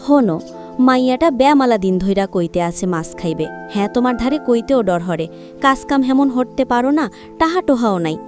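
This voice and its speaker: A woman's voice: a little high, animated, fairly quick, music in the background, natural voice. A woman with a Barisal Bengali accent playing a nagging village wife and mother in a cartoon, complaining in a rustic way.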